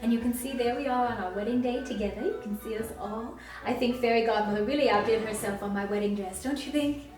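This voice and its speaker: A woman's voice: fairly high pitch, fast, hardly any pauses, a little mellow. A woman reading a storybook expressively to young children as a princess character, sweet and encouraging, inviting them to join in. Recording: very echoey, distant mic, faint music.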